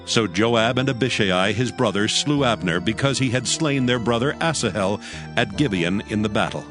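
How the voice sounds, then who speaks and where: A man with a fairly low voice reads scripture in an even, measured way. Clear background music plays under his narration.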